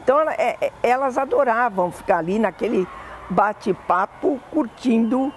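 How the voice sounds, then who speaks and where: High-voiced older Brazilian woman reminiscing. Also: animated, normal speed, a little hiss or hum. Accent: Brazilian Portuguese. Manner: fond, meandering reminiscing with self-corrections. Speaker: interviewee. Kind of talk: oral history interview.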